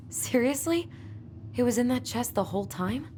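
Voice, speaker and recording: Woman acting Allison, a lively, guarded young sister. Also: a little high, normal speed, slight background noise, close to an everyday voice. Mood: animated. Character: Allison, young adult twin sister, wistful, playful, guarded. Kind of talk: naturalistic video game acting.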